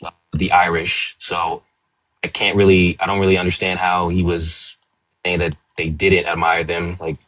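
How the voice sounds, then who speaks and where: Deep flat-voiced man debating. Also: normal speed, no room sound, poor audio. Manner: steady, earnest argument. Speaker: panelist. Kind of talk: live online panel debate. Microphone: remote call audio.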